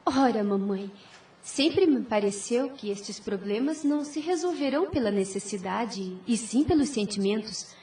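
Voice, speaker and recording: A woman's voice: a little high, expressive, normal speed, dark tone, distant mic, natural voice. A woman playing a virtuous, composed sixteen-year-old daughter in an audio drama, with a formal, earnest delivery. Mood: earnest, reasoning.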